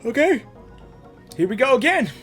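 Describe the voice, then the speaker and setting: Casual game reaction commentary, unhurried halting speech, animated intonation, faint music behind. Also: sits high. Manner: halting, repeats words while thinking aloud.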